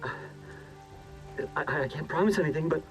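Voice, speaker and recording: A man playing an anxious government research scientist in a hazmat suit, with halting, stammering speech, in cinematic video game acting. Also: a little high, highs cut off, music in the background, natural voice. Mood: frightened, flustered.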